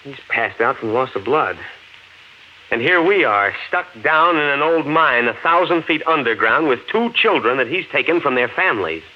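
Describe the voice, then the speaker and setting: A man's voice: mid-range voice, expressive, moderate pace, lightly acted. An actor playing a practical, skeptical, protective man in a 1950s radio thriller. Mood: indignant, skeptical. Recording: noisy lo-fi 1950s radio recording.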